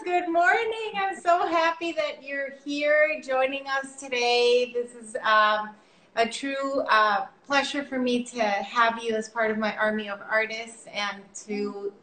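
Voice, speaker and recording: A woman's voice: a little high, steady tone, unhurried, a bit bright, reverberant, poor audio. A woman hosting a casual online interview, upbeat and effusive, with lots of fillers. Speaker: host. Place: San Diego.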